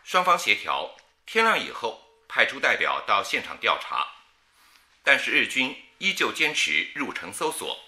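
An actor playing a grave 1930s wartime radio news announcer, reading formally in a measured, declamatory manner. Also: male voice, mid-range voice, wildly swooping pitch, pushed and bright, exaggerated voice. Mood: grave, urgent. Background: music.

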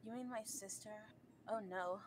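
A woman voicing a gentle, breezy female rabbit guildmaster in a comic dub. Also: mid-range voice, moderate pace, a little hiss or hum, close to an everyday voice. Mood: kind, concerned.

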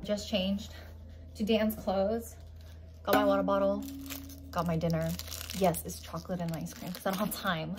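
A woman's voice: mid-range voice, normal speed, pauses often, background noise. A young woman in her twenties chatting casually to her phone camera as she goes about her week, dropping in Korean words. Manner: chatty, excitable, mixes in Korean phrases. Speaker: vlogger. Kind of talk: casual vlog narration. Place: various indoor spots, cafe, car, home. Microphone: handheld phone camera.

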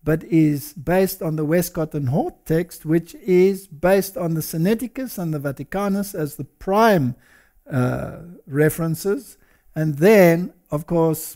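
Older man lecturing, slightly high voice. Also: darkish tone. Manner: explanatory, lecturing. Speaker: co-host. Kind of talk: studio discussion.

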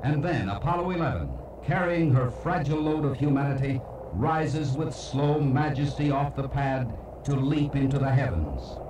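A man's voice: medium pitch, expressive, moderate pace, distant mic. A man giving dramatic, scripted documentary narration. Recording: noisy, echoey cassette playback from afar.

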